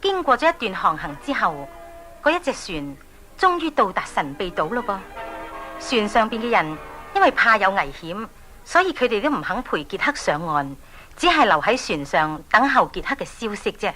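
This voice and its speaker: A woman's voice: mid-range voice, very animated, moderate pace, sharp tone, soft background music. A woman with a Hong Kong Cantonese accent narrating a children's story in a scripted storytelling style.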